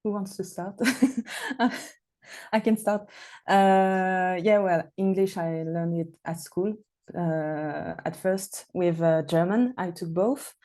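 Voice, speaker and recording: Slow, mellow French-accented woman. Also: fairly low pitch. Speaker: panelist, beer writer. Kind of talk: casual personal account on a panel.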